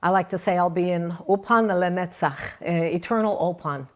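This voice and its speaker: A woman's voice: lowish voice, fairly quick. A woman guest giving an earnest, conversational account of her faith in an interview. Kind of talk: interview, personal testimony.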